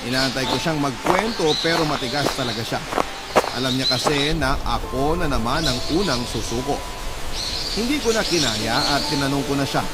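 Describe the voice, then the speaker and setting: A Filipino man with a mid-pitched voice delivers scripted first-person storytelling. He sounds like a radio drama narrator, with loud music running behind him throughout. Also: expressive, moderate pace. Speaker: narrator reading a letter sender's love story.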